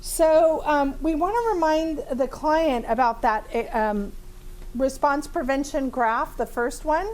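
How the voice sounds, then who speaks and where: A woman therapist with a big voice leading a live training demonstration in a room with a small audience, chatty and lively, laughing often, with many fillers. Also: high voice, measured pace, low-quality recording.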